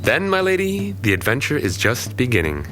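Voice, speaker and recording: A man's voice: mid-range voice, huge pitch swings, moderate pace, music in the background. An actor playing an earnest, thoughtful young man in an audio drama, with courtly phrasing. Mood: tender.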